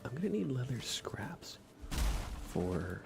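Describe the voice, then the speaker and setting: A soft-spoken man rambling through relaxed ASMR live gaming commentary, musing aloud hesitantly and often slipping into a whisper. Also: mid-range voice, slow, creaky, over music. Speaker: livestream game streamer.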